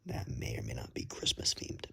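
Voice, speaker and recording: A man's voice: moderate pace, sharp tone. A man whispering casually to viewers as an ASMR creator, self-deprecating, recorded on a phone's built-in microphone. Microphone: phone, no external microphone.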